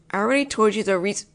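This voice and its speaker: A woman acting out a one-sided audio roleplay as a frustrated, possessive roommate talking straight to the listener. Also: medium pitch. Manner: frustrated, insistent, teasing. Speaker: voice actress playing a possessive roommate.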